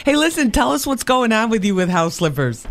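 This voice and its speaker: High-voiced man hosting a radio show. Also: big pitch swings, fairly quick, fairly bright tone. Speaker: radio host.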